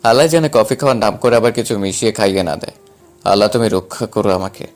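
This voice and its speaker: A man's voice: lowish voice, fast, a little mellow, close to an everyday voice. A man playing a flustered, cheeky but timid younger brother in an acted audio story. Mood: alarmed.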